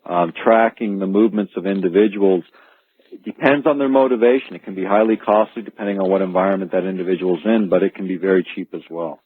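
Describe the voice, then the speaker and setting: A man speaking as an expert guest on a radio call-in show, explaining things in a halting but emphatic way. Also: deep voice, steady tone, slightly rough, no room sound. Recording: tinny phone-like radio line.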